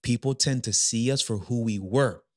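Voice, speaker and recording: A man's voice: mid-range voice, moderate pace, no room sound, studio quality. Emphatic man co-hosting a faith podcast. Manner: energetic, emphatic, repeats points. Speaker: podcast co-host. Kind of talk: casual faith-based advice chat.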